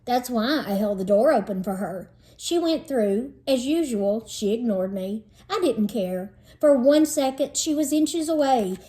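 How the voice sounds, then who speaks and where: Higher-voiced woman reading a storybook aloud. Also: lively intonation, a little slow, hardly any pauses, warm tone. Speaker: read-aloud narrator of a children's book. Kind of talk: storybook read-aloud narration.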